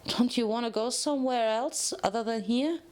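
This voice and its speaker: A woman's voice: on the high side, normal speed, darkish tone, clear, resonant tone, close to an everyday voice. A woman voicing a curt, guarded, grieving rebel mare in prison for a story reading. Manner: curt, reluctant. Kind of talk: dramatic fan fiction reading.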